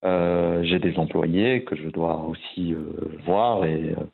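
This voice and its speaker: A man's voice: sits low, slow pace, gravelly, husky, phone-quality sound. A man in his fifties from Morocco, a guest talking about his work in a casual interview, with many fillers and restarts. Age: 50s. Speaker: guest, pharmacist and visual artist.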